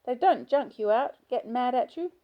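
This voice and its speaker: A woman's voice: on the high side, expressive, normal speed, lo-fi sound, pitched above the performer's own voice, natural voice. A woman voicing a middle-aged hospital patient who talks in a whiny, childish, pleading way. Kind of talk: audiobook character voice.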